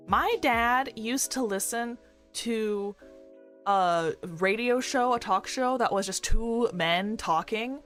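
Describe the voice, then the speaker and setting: A young woman who streams and works as a doctor, chatting casually with a fairly deep voice and a rambling, filler-heavy way of talking. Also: fairly bright tone. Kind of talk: casual chat with live chat readers. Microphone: desk microphone.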